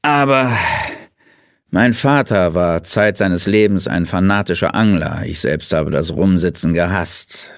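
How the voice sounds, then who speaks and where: A man voicing a weary, laid-back male forensic pathologist who muses out loud in a relaxed way. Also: deep voice, a little slow, dry recording, studio quality. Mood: musing.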